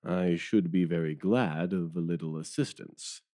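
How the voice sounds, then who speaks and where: A man's voice: deep voice, measured pace, dark tone. An actor playing a weary, deferential male police detective who talks in a rueful, wordy way in an audio drama. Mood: rueful, worried.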